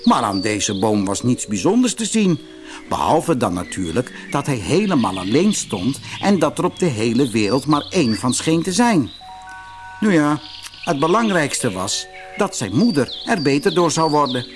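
A man narrating a scripted fairy tale as an expressive audiobook storyteller. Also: mid-range voice, normal speed. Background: music.

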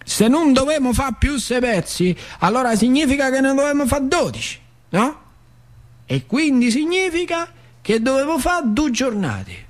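An actor playing a plainspoken, wistful older factory worker with a central Italian, Lazio accent, in a theatrical monologue with colloquial repetitions. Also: male voice, extremely high pitch, full character voice. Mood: animated, emphatic. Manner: colloquial, repetitive, dramatic swings from emphatic to hushed. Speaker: stage actor.

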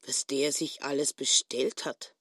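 A woman's voice: extremely low pitch, steady tone, a little slow, fairly bright tone, husky, heavily acted. A woman playing a vain, indignant middle-aged wife in a radio play, gossipy and complaining.

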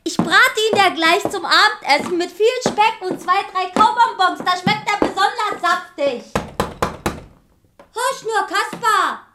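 A woman playing a simple, good-natured, excitable character in a children's radio fairy tale, with a naive, exclaiming manner. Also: very high voice, a little slow, background noise. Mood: delighted.

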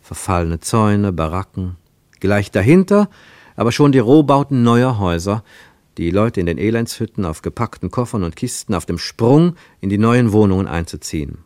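Radio drama narrator, played by a man: low register, measured descriptive delivery of scripted travel prose, dry studio. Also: animated, a little mellow, no echo, natural voice. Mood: observant. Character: male literary narrator of a Moscow travel account.

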